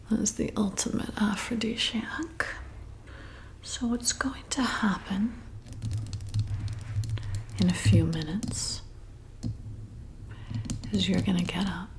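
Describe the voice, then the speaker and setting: A woman acting as a flirty female vampire in an ASMR roleplay, whispering very slowly with a faint creak. She is soothing yet commanding, coaxing her prey deliberately into obedience. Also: mid-range voice. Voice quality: soft breathy whisper.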